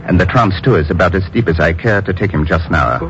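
An actor playing a careful, commanding doctor and hypnotist in a 1950s radio drama, deliberate and clinical. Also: male voice, low-pitched, lightly acted. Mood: measured, clinical. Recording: muffled, noisy old radio recording.

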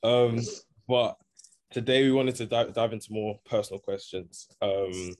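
A man's voice: on the low side, unhurried, a little mellow. A young man hosting a casual conversational interview.

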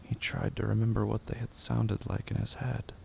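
A man narrating scripted horror fiction in a measured, somber, deliberate manner. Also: fairly low pitch, level tone, creaky, darkish tone, slight background noise.

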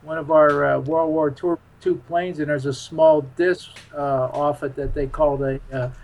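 A man speaking casually as an interview guest, with a hesitant, halting way of talking. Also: fairly high pitch, level tone, darkish tone, poor audio. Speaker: interview guest, UFO author. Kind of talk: casual conversation.

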